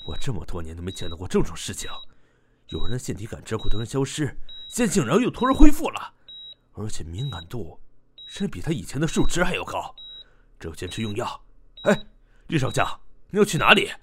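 A man playing an excitable research doctor in a modern audio drama, exclaiming readily. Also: on the low side, fairly quick, slight background noise. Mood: alarmed. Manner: stammering when excited, exclamatory. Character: excitable male research doctor, bargaining and easily amazed. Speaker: voice actor.

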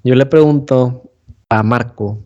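A man talks in a loose, slangy chat. He is animated and often slips into quoted voices. His voice sits at an ordinary middle pitch. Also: slow pace, dull audio. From Monterrey, Mexico. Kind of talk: casual chat.

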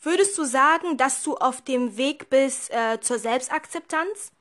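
High-voiced young woman hosting a podcast. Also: a little slow, fairly bright tone. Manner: friendly, asks questions, affirms often. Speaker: podcast host. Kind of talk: casual podcast interview.

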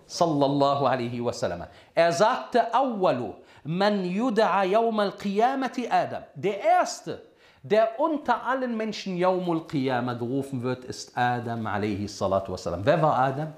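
German-speaking preacher in an echoey room. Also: male voice, on the high side. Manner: asks the audience questions and answers them himself; mixes Arabic phrases into his talk. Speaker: Islamic preacher. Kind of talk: sermon lesson to a congregation.

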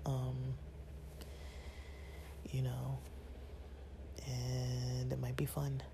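A man hosting his own channel, talking casually to viewers at home in a raspy, half-whispered voice, rambling with lots of fillers and feeling a little unwell. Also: mid-range voice, monotone, very slow, background noise. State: a bit unwell, rested. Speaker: channel host.

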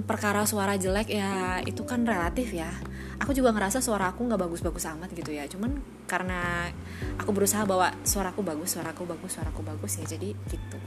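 Music plays clearly behind a young woman. Her voice sits at a medium pitch, and she talks in a chatty, informal way, like a new podcaster addressing friends. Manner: chatty, informal, often chuckling. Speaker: first-time podcast host. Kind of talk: casual solo podcast chat.

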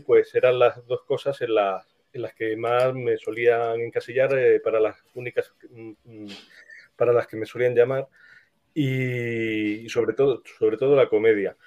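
Male guest, Castilian Spanish, mid-pitched, thoughtful and halting, on a slightly muffled recording. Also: darkish tone. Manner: halting, thoughtful, frequent pauses. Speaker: interview guest, screenwriter. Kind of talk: casual interview answers.

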